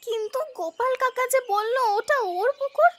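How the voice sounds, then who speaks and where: A woman voicing a feisty small shopkeeper in a village cartoon, pleading and quick to protest. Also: very high voice, a loud crowd. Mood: nervous. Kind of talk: cartoon dialogue.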